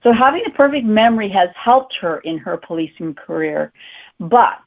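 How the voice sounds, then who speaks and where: A woman speaks as an interviewed author in a casual, conversational style. Her pitch is average for a woman. The sound is thin and band-limited, like a phone call. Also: animated, moderate pace, creaky. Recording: tinny phone-like line.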